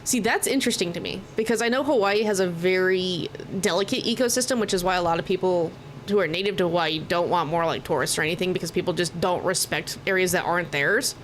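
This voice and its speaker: A woman's voice: lowish voice, quick pace. An American woman rambling casually and informally as she livestreams a video game, sounding a bit tired. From Oklahoma, United States. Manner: rambling, informal, occasional swearing. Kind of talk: casual chatty gaming stream commentary. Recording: noticeable background noise behind the mic.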